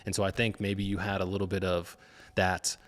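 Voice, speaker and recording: A man's voice: sits low, level tone, fairly quick. A man hosting a podcast, posing long, reflective interview questions in an earnest, motivational way. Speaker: podcast host.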